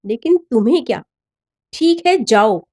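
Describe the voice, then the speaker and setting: A woman's voice: a little high, animated, a little slow, a little mellow. A woman narrator voicing a cocky, sulky teenage boyfriend in a story. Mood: sulky, hurt. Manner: preening, sulky.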